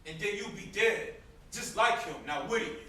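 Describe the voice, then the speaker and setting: Man acting a bitter high-voiced young brother, echoey stage. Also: normal speed, sharp tone. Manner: sarcastic, confrontational. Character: bitter, sarcastic young brother nursing family grudges. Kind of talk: amateur stage play acting. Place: indoor theatre stage. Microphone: distant camera microphone. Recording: distant echoey stage recording, faint noise.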